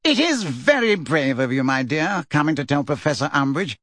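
A man's voice: high voice, big pitch swings, moderate pace, pushed and bright, voice pushed up, exaggerated voice. A man doing a hearty, blustering politician. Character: blustering, pompous middle-aged male politician.

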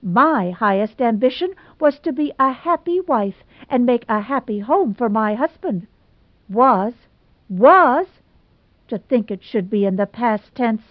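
Woman reader doing a high, theatrical heartbroken young woman, tearful and gushing, dry muffled sound. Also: lively intonation, unhurried, no room sound. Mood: melodramatic. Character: melodramatic lovelorn young woman, self-pitying.

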